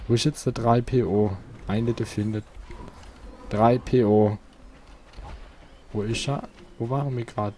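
Chatty let's-play host, mellow voice. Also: male voice, medium pitch, steady tone, a little slow, pauses often, a little hiss or hum. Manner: chatty, talks to viewers, asks for likes. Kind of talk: casual gameplay commentary.

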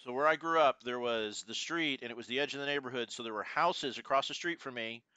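Forty-something guy from houston, bright crisp voice, dry close mic, rambles with lots of ums. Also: medium pitch, fast talker, no echo. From Houston, Texas. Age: 40s. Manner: rambling storyteller with many fillers. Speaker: podcast co-host. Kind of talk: casual chat.

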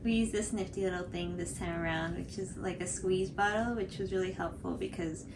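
Low, even-toned young American woman with fry. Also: a little fast, noisy background. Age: 20s. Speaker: craft video co-host. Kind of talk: casual tutorial chat. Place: small indoor room at home, on a couch.

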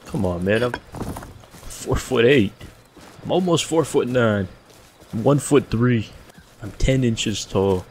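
A young man with a deep voice giving casual, joking commentary while playing a survival game, swearing often as he thinks aloud. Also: lively intonation, slow pace, pauses often, a little mellow, a little hiss or hum.

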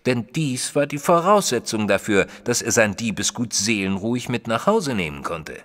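An actor playing a thoughtful detective's companion who narrates an audio drama in a measured, careful way. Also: male voice, mid-range voice, big pitch swings, a bit bright, long reverb tail. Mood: reasoning. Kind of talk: scripted first-person audio drama narration.

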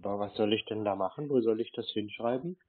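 A husky-voiced man on a prank phone call, deadpan and playing naive, with stilted, pompous wording. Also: fairly low pitch, fast talker. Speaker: prank caller baiting phone scammers. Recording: muffled telephone-like sound.

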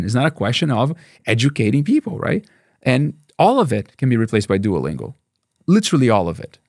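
A man in his forties with a Brazilian accent speaks quickly and eagerly, often restarting and repeating words. His voice has a gravelly rasp. The studio sound is dry and close. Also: on the high side, no echo. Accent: Brazilian Portuguese. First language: Portuguese. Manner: fast, animated, many restarts and repeated words. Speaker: statistics professor, guest. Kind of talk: casual interview conversation. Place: dark podcast studio. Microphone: studio mic on boom arm, headphones.

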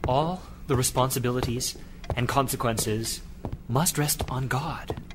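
An actor playing a devout, burdened missionary preacher in an audio drama, grave and fervent in manner. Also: male voice, medium pitch, unhurried, noisy background, lightly acted. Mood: grave, resolute.

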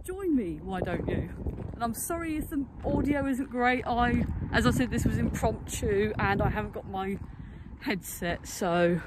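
Clearly noisy, windy phone audio carries a British vlogger's voice from an open outdoor site. The delivery is casual and unscripted, rambling along tentatively like someone thinking aloud on a walk. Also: mid-range voice, expressive, a little slow. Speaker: urban exploration vlogger. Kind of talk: casual impromptu walking commentary. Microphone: handheld phone, no headset.

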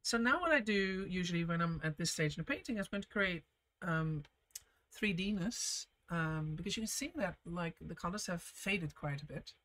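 A woman leading a casual live painting lesson, reading viewer comments and chatting warmly as she paints, often laughing softly and trailing off mid-sentence. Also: on the low side, animated, moderate pace. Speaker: art teacher streaming a painting session.

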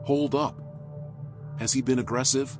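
A man voicing a world-weary raccoon private eye in a noir game, wry, sardonic and deadpan. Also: mid-range voice, unhurried, over music, lightly acted. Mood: businesslike. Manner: dry, wry, deadpan muttering. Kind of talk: noir game voice acting, internal monologue and dialogue.

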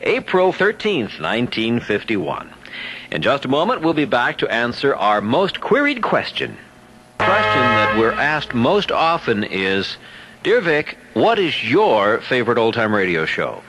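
A man's voice: mid-range voice, huge pitch swings, a little slow, noisy background. An older American man hosting a nostalgic radio show, speaking directly to his listeners. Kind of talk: nostalgic presenter talk to listeners.

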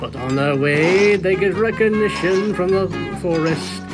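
A man's voice: high-pitched, unhurried, music in the background. A man reading a story aloud as a scripted narration. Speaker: fanfiction reader, narrator.

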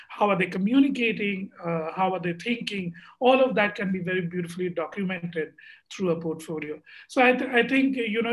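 A man's voice: sits high, poor audio. A man on a video-call panel speaks earnestly about teaching. He leans on fillers, with many uhs and you-knows between phrases. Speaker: panellist educator. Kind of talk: online panel discussion.

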